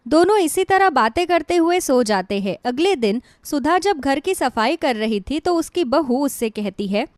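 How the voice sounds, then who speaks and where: A woman's voice: high-pitched, lively intonation, unhurried, darkish tone, no room sound, clean studio sound. A woman giving scripted narration for a cartoon moral story.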